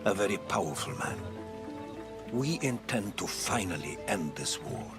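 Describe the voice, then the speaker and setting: An actor playing a worldly ancient Greek storyteller in a video game drama, speaking in a grave, deliberate manner. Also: male voice, on the low side, expressive, gravelly, music in the background. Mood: grave, guarded.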